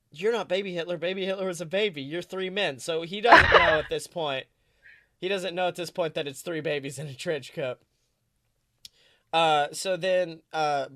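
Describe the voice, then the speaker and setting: A man's voice: fairly high pitch, a bit bright, no echo, clean studio sound. A man co-hosting a podcast, improvising a comic story in a rambling, self-correcting, playfully dramatic way. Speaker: podcast co-host.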